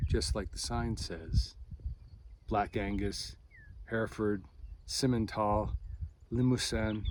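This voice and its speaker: A man reading a poem as a poet, in measured, deliberate phrases with pauses between them. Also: mid-range voice. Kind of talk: poetry reading. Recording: clear outdoor background noise.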